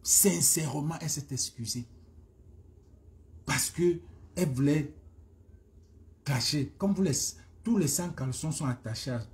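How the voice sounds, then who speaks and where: A man's voice: a little high, a little slow, long pauses. A man with a Cameroonian accent ranting informally as a social media commentator, emphatic and hammering phrases again and again. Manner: emphatic, repeats phrases insistently. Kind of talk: informal live-stream rant.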